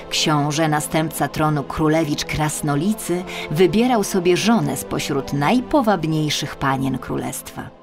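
A woman narrating a children's fairy tale as an expressive audiobook storyteller. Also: lowish voice.

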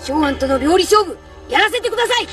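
A woman's voice: very high voice, animated, fast, a bit bright. A woman voicing an earnest, determined thirteen-year-old boy chef in an anime. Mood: earnest resolve. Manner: eager, determined. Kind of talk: anime acting. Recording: distant sound under music.

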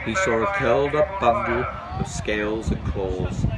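A man's voice: lowish voice, measured pace, heavy background noise. A middle-aged man reading a children's storybook aloud outdoors. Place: on a bench outdoors. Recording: outdoors with background bustle.

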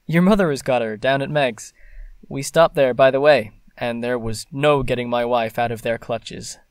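A young gentleman played by a man in a period audio drama, mid-pitched and recorded dry. He is proud and fondly teasing, with a wry, playful formality. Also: normal speed, clear, resonant tone, no room sound, lightly acted.

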